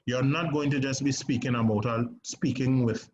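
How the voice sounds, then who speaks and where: A man with a Trinidadian accent teaching a stage acting class, lively and often asking "right?". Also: medium pitch, normal speed. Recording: slightly dull recording with faint noise.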